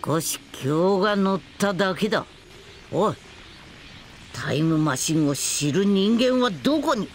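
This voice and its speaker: A man's voice: high-pitched, animated, unhurried, background noise. A man voicing an arrogant, cold god villain who scorns humans, in pompous, formal speech for an anime game. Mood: cold, menacing. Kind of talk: Japanese anime game voice acting. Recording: loud dramatic music behind the voice.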